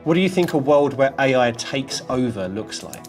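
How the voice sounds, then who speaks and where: A man's voice: mid-range voice, very animated, moderate pace. Man narrating over a music bed. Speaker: video narrator. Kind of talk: scripted documentary narration.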